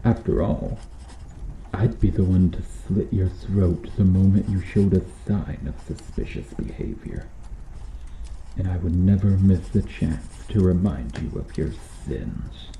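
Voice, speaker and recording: A very low, gravelly voice belongs to a bitter medieval knight acted out by a man, brooding and menacing as he interrogates. He takes his time over every phrase in an intimate ASMR roleplay style. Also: roomy sound, slight background noise, poor audio. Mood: menacing, accusing. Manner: brooding, menacing, deliberate delivery with dropping hushed phrases. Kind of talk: slow ASMR roleplay acting.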